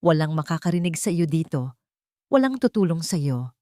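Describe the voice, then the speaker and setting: A woman's voice: low voice, big pitch swings, moderate pace, mellow, no room sound, clean studio sound. A woman voicing a cold, smug older male villain, a scheming uncle in a dramatic audio story. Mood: smug threat. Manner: smug, taunting, remorseless.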